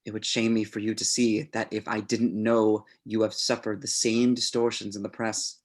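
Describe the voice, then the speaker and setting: A man acts out a fanatical killer in a screenplay read, composing a letter to his idol. His voice sits on the low side, and he speaks in measured, formal phrases. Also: flat delivery, lightly acted. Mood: aggrieved. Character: obsessed male serial killer writing to his idol.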